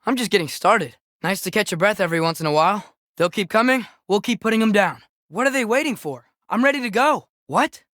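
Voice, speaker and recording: A man's voice: sits high, animated, brisk, few pauses, pushed and bright, no room sound, clean studio sound. A young man playing an eager, earnest, curious teenage boy, a companion in a video game, fighting beside his father.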